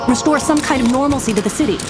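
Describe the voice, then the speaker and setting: Woman acting high-voiced brisk news reporter. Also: over loud music, close to an everyday voice. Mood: probing. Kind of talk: news interview questioning.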